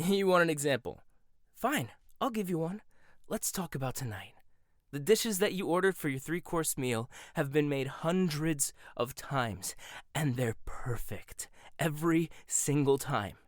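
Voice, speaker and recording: Man as a combative young chef, lively sarcastic delivery, dry close sound. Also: fairly high pitch, unhurried, no echo. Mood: defensive. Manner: sarcastic, confrontational. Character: proud combative young male head chef. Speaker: voice actor. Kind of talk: audio roleplay.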